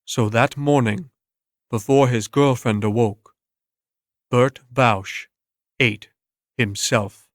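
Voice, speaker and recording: A man reading a scripted story as narrator, in a measured way with dramatic pauses. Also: medium pitch, no room sound, studio quality.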